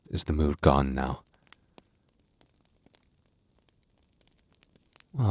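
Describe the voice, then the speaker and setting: A man playing a playful, devoted, soft-hearted young werewolf boyfriend in an intimate ASMR roleplay, teasing and conversational, halting when flustered. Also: extremely low pitch, no echo. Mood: playful, coaxing.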